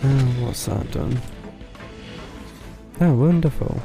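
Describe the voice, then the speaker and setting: A man's voice: medium pitch, slow pace, vocal fry. A man giving casual live game commentary, dryly muttering to himself, with game music behind him. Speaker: game streamer. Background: video game music.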